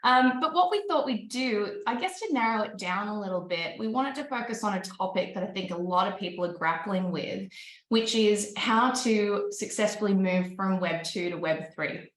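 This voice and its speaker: A woman's voice: mid-range voice, animated, normal speed, reverberant, rough recording. A woman with an Australian accent moderating an online panel, chatty and upbeat with many ums. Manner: chatty, upbeat, frequent ums and light laughs.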